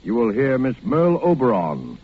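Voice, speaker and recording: A man reading a scripted 1940s radio closing announcement, husky, on a tinny, narrow old recording. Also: medium pitch, huge pitch swings, normal speed, very noisy.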